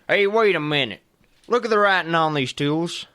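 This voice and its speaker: A man's voice: a little high, expressive, moderate pace, sharp tone, clean tone. A man playing a folksy, exasperated old west lawman keeping order in a comedic radio play. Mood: commanding.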